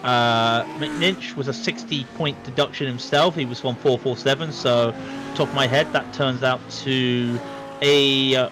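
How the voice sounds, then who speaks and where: A man's voice: medium pitch, steady tone, unhurried, over music. A man doing live sim racing commentary, working through standings and calling out the grid order with frequent fillers. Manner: works out numbers aloud with many fillers.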